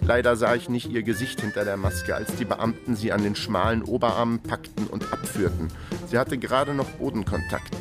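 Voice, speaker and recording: An actor playing a wry, hapless investigator who narrates his own case in a deadpan way in a radio crime drama. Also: fairly low pitch, a little fast, natural voice. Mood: laconic, matter-of-fact. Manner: laconic, deadpan. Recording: music behind the voice.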